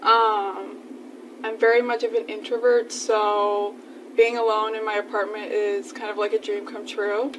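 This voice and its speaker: A woman of twenty-one, a student, answering interview questions in a soft, matter-of-fact way. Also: medium pitch, little pitch movement, unhurried, slight background noise. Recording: distant mic, music behind.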